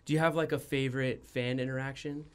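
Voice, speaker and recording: A man's voice: mid-range voice, fairly even intonation, fast, smooth. A young man hosting a casual studio interview, rambling, with frequent "like" and restarts. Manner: rambling, heavy use of "like", restarts. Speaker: podcast host. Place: radio studio.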